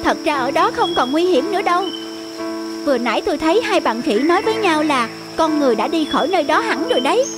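Young rooster, piping high voice, eager, headstrong, exclamatory, children's cartoon, background music. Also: female voice, big pitch swings, quick pace. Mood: dismissive, determined. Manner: exclamatory, insistent. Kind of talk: animated children's story acting.

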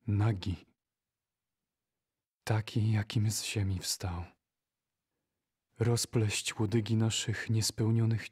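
A man reciting poetry for an audio drama in a solemn, measured manner. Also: fairly low pitch, a little mellow, husky.

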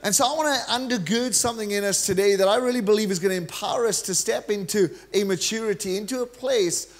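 Welsh man, middle-aged, high voice, energetic joking preacher, handheld mic, church stage. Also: fast talker. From Wales. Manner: energetic, joking preacher, gestures freely while pacing the stage. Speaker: guest preacher. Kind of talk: sermon.

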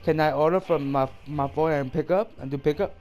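A young man making a prank call, faking a stutter with halting, repeated words. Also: on the high side, darkish tone, highs cut off. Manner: fakes a stutter, halting and repetitive. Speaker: prank caller playing a video game. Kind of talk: casual prank call chat.

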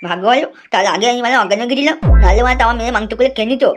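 A woman's voice: a little high, very fast, faint music behind, close to an everyday voice. A woman voicing a cheeky, whiny young boy in a comic cartoon, grumbling and easily frightened. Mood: indignant. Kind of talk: comic cartoon dubbing in colloquial Bengali.

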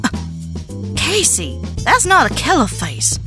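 Woman playing young woman, sassy, blunt, wry, exasperated, lively swinging pitch, cartoon comic dub. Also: slow pace, heavily acted. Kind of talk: animated comic dub acting. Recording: music behind the voice.

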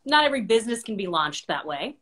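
Woman in her 30s hosting a chat on video call, expressive, average pitch. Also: fast. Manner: expressive, conversational. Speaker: interviewer, host. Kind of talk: conversational interview. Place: home room with bookshelves, video call.

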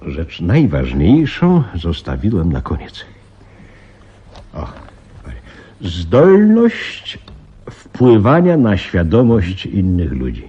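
An actor playing a flustered, grave veteran police commissioner in a radio play, husky and halting, repeating himself. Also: male voice, low-pitched, huge pitch swings, warm tone, exaggerated voice. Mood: grave, intent. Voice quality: husky, breathy. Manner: halting, muttering, repeats himself, dramatic emphasis. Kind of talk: Polish radio play acting. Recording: slightly dull radio play recording.

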